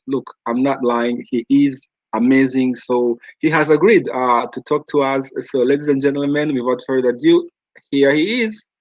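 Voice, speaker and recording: A man's voice: medium pitch, dry recording, low-quality recording. A man from Rwanda hosting a casual online interview, chatty and full of fillers and restarts. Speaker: host.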